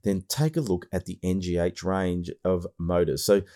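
A hoarse Australian man with a very low voice talks through his podcast in a loose, rambling way, full of fillers and restarts. He sounds middle-aged and a little worn, as if he is losing his voice. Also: normal speed, mellow. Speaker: podcast host. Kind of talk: casual podcast monologue.

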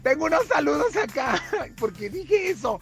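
A man's voice: very high-pitched, sing-song, moderate pace, gravelly, loud music behind the voice, full character voice. A man voicing a fawning, theatrical female radio sidekick who gushes in a sing-song way. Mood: chatty. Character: gushing flirty woman sidekick, over-the-top. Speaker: show sidekick.

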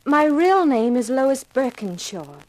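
Businesslike woman agent in 1950s radio crime drama: formal, precise, composed, slightly high-pitched. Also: very animated, slow, exaggerated voice, low-quality recording. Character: composed, businesslike female undercover federal agent.

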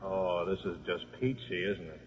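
An actor playing a wisecracking, scheming football coach and father, brisk and slangy, in a 1950s radio drama. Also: sits low, slight background noise, close to an everyday voice. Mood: sardonic, irritated. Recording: muffled vintage 1950s radio broadcast.

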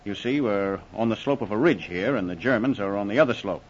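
An actor playing a cautious, deferential young officer in a 1940s radio war drama, speaking a little hesitantly. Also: male voice, fairly low pitch, fairly quick, natural voice. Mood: polite. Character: polite, cautious male army scout lieutenant. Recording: muffled, noisy old radio recording.

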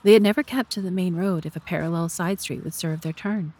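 Lower-voiced woman narrating a story. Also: fairly quick, a little mellow, breathy edge. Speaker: audiobook narrator.

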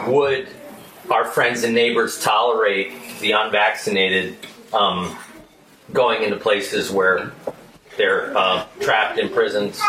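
Low-voiced man in an echoey room. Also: pushed and bright. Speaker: participant posing a question. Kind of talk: earnest discussion, personal account and questioning. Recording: echoey, distant mic with clear background noise.

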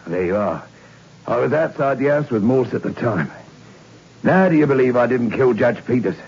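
An actor playing a cocky, slippery working-class prisoner, in 1960s radio drama style. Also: male voice, mid-range voice, animated, moderate pace, darkish tone, slightly rough. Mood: cheeky, insistent. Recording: dull old radio recording.

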